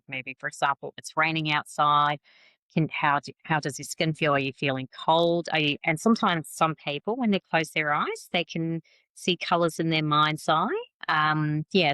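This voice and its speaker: Bright-voiced woman, chatty medium guest. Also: deep voice, clear, resonant tone, no echo. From New Zealand and Malaysian family. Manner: chatty, self-deprecating, laughs often. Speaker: podcast guest, psychic medium. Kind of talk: casual interview conversation.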